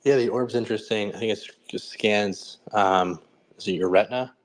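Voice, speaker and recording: A man with a deep voice explains things conversationally as a panelist. He is hesitant and halting, feeling his way through his sentences.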